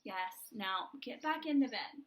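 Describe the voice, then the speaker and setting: A woman voicing a patient, weary father chicken who gently chides at bedtime in a picture-book read-aloud. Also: mid-range voice, lively intonation, unhurried, pitched below the performer's own voice, natural voice. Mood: exasperated. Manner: gently chiding.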